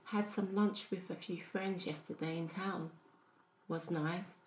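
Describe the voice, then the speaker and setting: A woman playing an anxious, loving mother in her seventies in an audiobook drama, timid and gentle. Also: fairly low pitch, fairly even intonation, unhurried, close to an everyday voice. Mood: anxious, tender. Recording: muffled, echoey, noisy room recording.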